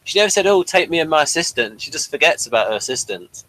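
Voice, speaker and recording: A man's voice: on the high side, big pitch swings, fast talker, sharp tone, no echo, poor audio. A man with a slight speech impediment, hosting a casual review chat, reading a synopsis with hesitant fillers and self-corrections. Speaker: podcast host reading the synopsis.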